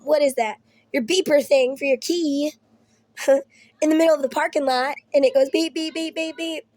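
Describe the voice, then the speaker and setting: A woman's voice: sits high, darkish tone, dry recording. A young woman, ill and losing her voice, chatting casually to camera at home, rambling and swearing with laughing asides. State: ill with Covid. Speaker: vlogger.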